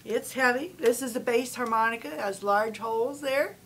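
Older woman explaining bass harmonica. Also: normal speed, fairly bright tone, a little hiss or hum. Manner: plain, informative explainer. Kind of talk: instrument demonstration. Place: convention room with dark curtains.

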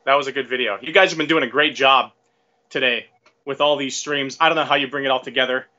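A man's voice: mid-range voice, steady tone, fast talker, pushed and bright. A man in his thirties chatting casually as a livestream guest over a video call. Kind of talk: casual chat. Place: home workshop room, indoors. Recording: dull lo-fi video-call audio.

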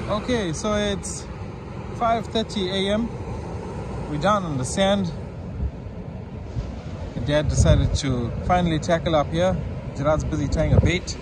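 A man calmly narrating his fishing trip on a beach at dawn, filming with a handheld camera. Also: on the high side. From South Africa. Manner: calm, unhurried running commentary. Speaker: fishing vlogger. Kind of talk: casual narration while fishing. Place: outdoors on a beach by the surf at dawn. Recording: loud surf noise. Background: loud crashing surf.

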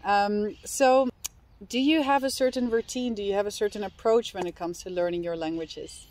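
A woman's voice: medium pitch, normal speed, a little mellow. Woman host interviewing outdoors. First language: Dutch. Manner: friendly, with frequent affirming murmurs. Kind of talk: casual interview. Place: park, seated at a picnic table outdoors.

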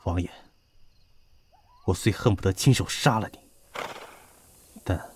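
Solemn dutiful retainer, played by a male actor, costume audio drama, fairly deep, mellow, restrained bitterness. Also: a little slow, husky, no room sound. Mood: grave, restrained. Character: grave, dutiful male retainer hiding hatred for his prince.

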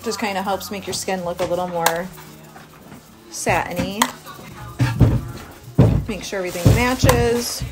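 A woman in her thirties talks casually through a beauty routine in an informal, chatty way. Her pitch is average, and clear music plays behind her. She records on a phone held at arm's length in a small room. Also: fairly even intonation, measured pace, long pauses. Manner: chatty, informal, explaining while busy applying makeup. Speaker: beauty vlogger. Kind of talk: casual makeup tutorial chat. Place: small cabin room by a window.

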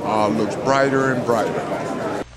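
A man's voice: medium pitch, steady tone, slow. A man, a union delegate, giving informal political commentary. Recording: very loud crowd noise behind. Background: busy crowd in a public space.

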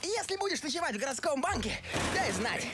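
High-voiced, smirking cartoon burglar. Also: female voice, sing-song, fairly quick, heavy background noise. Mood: mocking.

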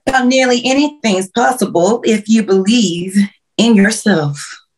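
A woman's voice: mid-range voice, darkish tone, lightly acted, rough recording. Woman playing a folksy, sage older woman. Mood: sage, encouraging. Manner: slow, proverb-like delivery.

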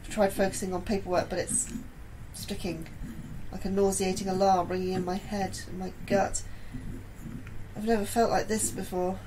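A woman plays a frightened, earnest astrobiologist in a horror audio drama. Her mid-pitched voice is halting and tense, with some background ambience. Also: flat delivery, background noise, lightly acted. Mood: troubled.

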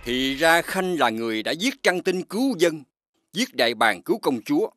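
A man playing a stern, righteous king in an animated fairy tale, speaking in a regal, declamatory manner. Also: on the high side, moderate pace. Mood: indignant. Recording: loud music behind the voice.

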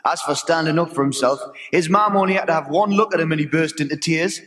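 A man's voice: a little high, quick pace, a bit bright, long reverb tail, close to an everyday voice. A man playing a cheeky flirty superhero. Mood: teasing.

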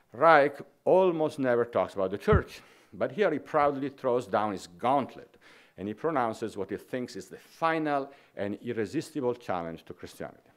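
A middle-aged man with an Italian accent gives an academic conference lecture at a podium, reading dense prose in a measured way with small restarts. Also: medium pitch. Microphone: podium microphone.

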